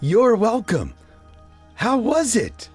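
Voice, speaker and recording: A woman's voice: a little high, huge pitch swings, slow pace, darkish tone, husky, soft background music, full character voice. A woman playing a cheerful, cocky boy adventurer in a children's storybook reading. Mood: casual. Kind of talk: children's storybook role-play.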